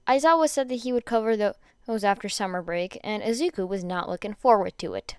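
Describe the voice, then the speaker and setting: Female podfic narrator, smooth clean voice, dry close sound, stumbles and repeats phrases. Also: mid-range voice, fairly quick, a little mellow, no room sound. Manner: stumbles over words and rereads phrases. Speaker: podfic reader. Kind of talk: amateur fan fiction narration read aloud.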